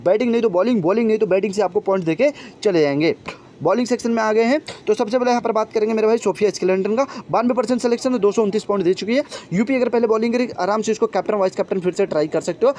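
A young man speaks extremely fast in a high, mellow voice. He chats informally to viewers as a fantasy sports tipster, addressing them like brothers. There is clear noise behind his voice-over. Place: voice-over on a phone screen recording.

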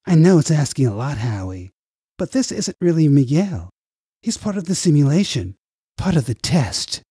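A man acts out a villainous project director in a sci-fi audiobook. He is smooth-talking and manipulative, with a mellow but husky tone. His pitch swings widely as he coaxes with condescending, drawn-out phrases. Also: big pitch swings, no room sound, clean studio sound, full character voice. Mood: manipulative, urging.

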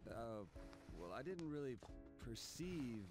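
Frazzled young man, swinging wildly in pitch. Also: expressive, slow pace. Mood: nervous, confused. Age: young adult. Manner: agitated, blunt, swearing. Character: desperate, frazzled young man demanding the truth. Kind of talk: video game cutscene acting. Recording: loud background music. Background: game music.

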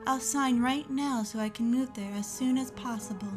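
A woman's voice: sits high, normal speed, over loud music, close to an everyday voice. A woman voicing a polite, trusting young woman in a cartoon, courteous and earnest. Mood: agreeable, entranced. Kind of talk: animated web series dialogue.

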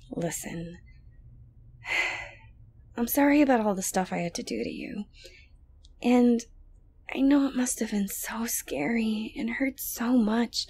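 A woman playing an obsessive, sweet-talking yandere girlfriend in an intimate ASMR audio roleplay, coaxing softly with breaths and near-whispers. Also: fairly high pitch, heavily acted. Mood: remorseful, creepy. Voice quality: soft, breathy, often dropping to a whisper. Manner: coaxing, cooing, falsely gentle, hesitant.

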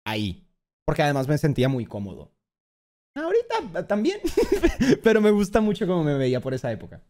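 A young man from Colombia reacting on a livestream. His voice sits a little high and swings widely in pitch. He talks in an expressive, joking way, full of slang and casual swearing. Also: brisk. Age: 20s. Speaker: streamer reacting to a video about himself. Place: indoor streaming room.

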